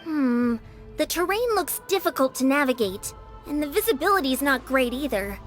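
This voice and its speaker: A woman's voice: high-pitched, lively intonation, moderate pace, soft background music. A woman voicing an earnest, concerned young girl explorer in a video game.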